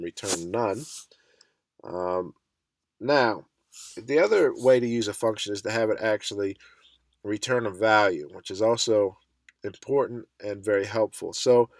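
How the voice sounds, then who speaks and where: Stuffy low-voiced man teaching code. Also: no echo, studio quality. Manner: relaxed, thinks aloud with frequent ums. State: stuffy from a cold. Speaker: coding instructor. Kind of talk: screen-recorded coding tutorial.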